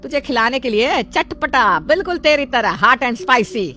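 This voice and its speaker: A woman's voice: high-pitched, expressive, measured pace, bright, forceful tone, soft background music, voice pushed up, heavily acted. A woman voicing an indignant, long-suffering older mother-in-law in a moral story, scolding with sarcastic retorts. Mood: scornful.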